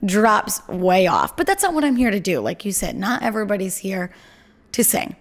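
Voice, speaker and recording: A young woman co-hosting a podcast from home in casual chat, talking in a chatty, self-deprecating way with a low voice. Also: very animated, moderate pace. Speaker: podcast co-host.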